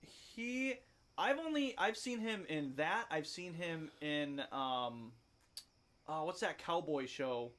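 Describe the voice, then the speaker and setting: Brief: male co-host, slightly high voice, eager, chatty. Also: expressive, takes it slowly, fairly bright tone. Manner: eager, jumps in with ideas, agreeable. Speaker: podcast co-host. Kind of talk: casual chat.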